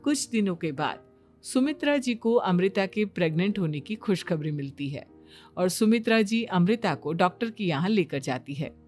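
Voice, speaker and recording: A woman's voice: lowish voice, animated, moderate pace, a little mellow. Woman storyteller for cartoon scene links, scripted delivery with music underneath. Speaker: narrator.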